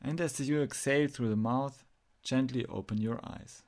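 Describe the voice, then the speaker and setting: A man with a mid-pitched voice and noticeable vocal fry leads a guided meditation. He gives instructions in measured phrases and leaves pauses between them. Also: a little mellow.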